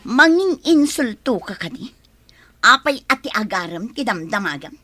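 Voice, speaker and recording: Actress doing a sassy worker lady, high swoopy voice, touchy and grumbly, radio drama style. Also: big pitch swings, normal speed, sharp tone, no echo, faint music behind, full character voice. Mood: indignant. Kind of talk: Filipino audio drama acting.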